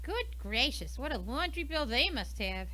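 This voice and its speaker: Woman acting excitable young companion, exclamatory, radio whodunit, high pitch, swinging intonation. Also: normal speed, full character voice. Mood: surprised. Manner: exclamatory, animated. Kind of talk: amateur radio mystery acting.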